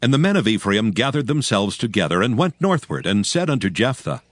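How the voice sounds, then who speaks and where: Low-voiced solemn male scripture narrator. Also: very animated, no echo. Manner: solemn, measured.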